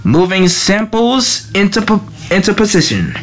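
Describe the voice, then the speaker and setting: A woman's voice: medium pitch, big pitch swings, unhurried. A woman voicing a devoted, obsessive lab assistant to a villain, deferential and earnest, in an amateur comic dub. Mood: anxious, attentive.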